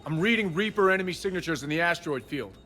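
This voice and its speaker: A man's voice: on the high side, animated, fast, bright, forceful tone, a little hiss or hum. A man playing a businesslike military shuttle pilot in a sci-fi video game, giving terse reports. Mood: focused.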